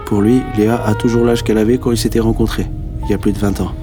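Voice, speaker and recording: A man's voice: lowish voice, little pitch movement, quick pace, lightly acted. A male actor playing a tender, resigned young narrator, telling his story in an understated, intimate first-person radio drama style. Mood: reflective, tender. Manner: reflective, understated. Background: music.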